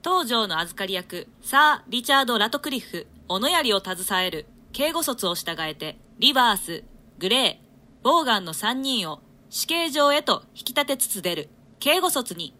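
Bright, lively woman reading stage directions. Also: on the high side, normal speed. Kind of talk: scripted narration of a play reading.

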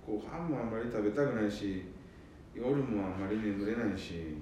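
A man's voice: normal speed, warm tone, close to an everyday voice. Man as weary eldest son, echoey and distant. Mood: evasive, tired. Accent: Nanbu dialect of northern Japan. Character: weary, dutiful eldest son overwhelmed by caring for parents. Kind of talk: amateur radio drama acting. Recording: very echoey, distant mic, faint rumble.

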